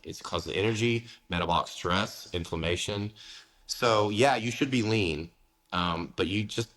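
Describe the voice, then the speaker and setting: A man's voice: deep voice, fairly bright tone. A man in his forties from the United States, talking candidly as a fitness coach in a casual interview, dropping "man" and "you know" often. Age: 40s.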